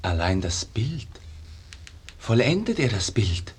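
An actor playing a dreamy, reverent disciple of a great painter in a 1950s radio verse drama, declaiming solemnly in standard stage German. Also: male voice, fairly low pitch, big pitch swings, breathy edge, exaggerated voice. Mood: hushed wonder. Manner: solemn, lyrical, measured. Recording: slightly muffled 1950s radio recording.

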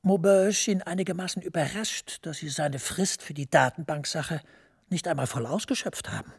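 An actor playing a smooth, persuasive senior EU official who speaks in a measured way. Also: male voice, fairly high pitch. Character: smooth senior EU director, middle-aged man, persuasive.

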